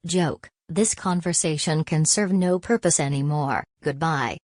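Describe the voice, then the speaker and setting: A robotic female co-host in a woman's voice with a low pitch. She is literal-minded and deadpan, stilted in phrasing and coolly menacing. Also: a little slow.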